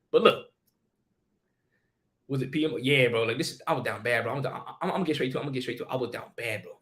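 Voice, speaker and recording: A man's voice: fairly high pitch, fairly bright tone. A young man on a livestream, rambling in a casual, slangy monologue and talking straight to his chat. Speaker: streamer.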